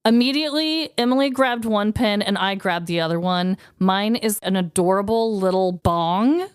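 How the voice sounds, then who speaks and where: A woman co-hosting a podcast with casual comedic banter, in a playful, expressive way. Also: mid-range voice, normal speed, smooth, dry recording, clean studio sound. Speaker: podcast co-host.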